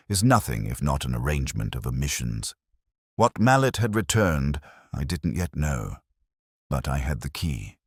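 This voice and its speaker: Male actor as brooding middle-aged detective narrator: extremely low voice, expressive swings in pitch, literary and measured. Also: no echo, studio quality, full character voice. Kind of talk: scripted first-person mystery narration.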